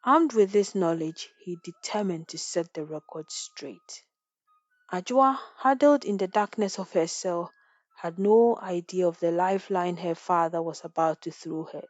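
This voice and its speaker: A woman's voice: fairly low pitch, measured pace, no echo, muffled recording, faint music behind. A woman storyteller narrating a folktale in a scripted, dramatic style.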